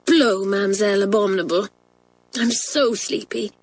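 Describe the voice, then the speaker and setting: A woman's voice: medium pitch, moderate pace, lightly acted, poor audio. A woman voicing an anxious, sleepy schoolgirl in a storybook, plaintive and hesitant. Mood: weary.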